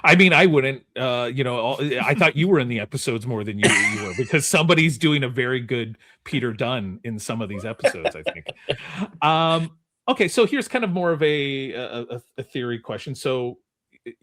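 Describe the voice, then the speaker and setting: Male podcast host, midrange voice, very dry sound, chatty n kinda halting. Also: mid-range voice, animated, pushed and bright, no echo. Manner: halting, chatty, repeats words while forming questions. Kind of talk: casual interview chat.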